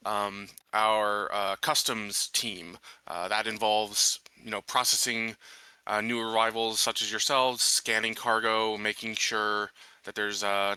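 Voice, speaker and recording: Courteous colony marshal, acted by a man, hesitant and formal, very bright crisp voice, dry sound, tabletop roleplay. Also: lowish voice, dry recording. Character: courteous colony marshal interviewing a new recruit. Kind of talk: improvised in-character tabletop roleplay.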